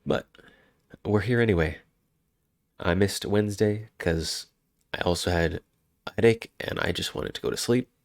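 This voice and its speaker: A man's voice: sits low, moderate pace, gravelly, long pauses, no echo, studio quality. A man with a head cold, sounding congested, chatting casually and rambling on a live stream. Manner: rambling, self-interrupting, chuckling.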